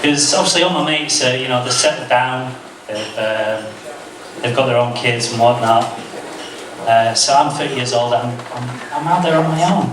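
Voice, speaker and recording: A man's voice: mid-range voice, normal speed, noisy background. A man in his thirties doing a self-deprecating stand-up set at a comedy club, telling a story with frequent restarts. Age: 30s. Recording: loud echoey club from a far mic. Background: audience laughter.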